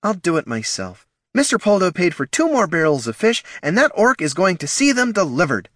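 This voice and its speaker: A man's voice: high-pitched, big pitch swings, moderate pace, pushed and bright, no room sound, clean studio sound, voice pushed up, heavily acted. Man voicing a snarling gnoll matron. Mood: snapping. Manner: snarling, barking orders. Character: fierce, harried female gnoll mother.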